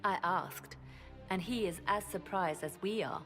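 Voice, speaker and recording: An actress voicing a composed woman spymaster who briefs her leader in measured, precise sentences in a game cutscene. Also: mid-range voice, a bit bright, soft background music, natural voice. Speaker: advisor. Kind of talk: video game cutscene dialogue.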